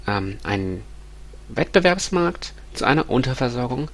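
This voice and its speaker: Congested male lecturer, 30s, hesitant and halting, gravelly texture, desk mic. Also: medium pitch, sing-song, a bit bright. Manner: hesitant, many ums and restarts. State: stuffy nose from a cold. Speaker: university lecturer. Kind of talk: recorded lecture with slides. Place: small room at home.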